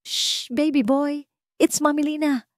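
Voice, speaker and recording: A woman's voice: high-pitched, steady tone, slow pace, dry recording, clean studio sound, pitched above the performer's own voice. A woman voicing a sweet-talking, coolly confident rich fiancée in an audio story, poised and sugary.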